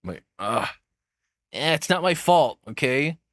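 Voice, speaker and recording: A man's voice: a little high, sing-song, measured pace, fairly bright tone, no room sound, clean studio sound. A man casually rambling on a livestream as he reacts to videos, self-deprecating and swearing freely.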